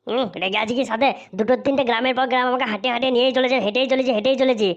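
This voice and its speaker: Woman voicing an indignant, rapid-fire young bridegroom. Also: high-pitched, fairly even intonation, lo-fi sound, lightly acted. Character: indignant, touchy, rude young male bridegroom. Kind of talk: comedic cartoon dubbing.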